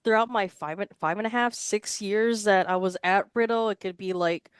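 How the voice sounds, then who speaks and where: A young woman from Hawaii chats loosely as a podcast guest, piling on "like" and side remarks. Her voice is smooth and clean, close to the mic in a dry setting. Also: medium pitch, normal speed, no echo. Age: 20s. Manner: chatty, rambling, lots of "like". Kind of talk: casual interview answers.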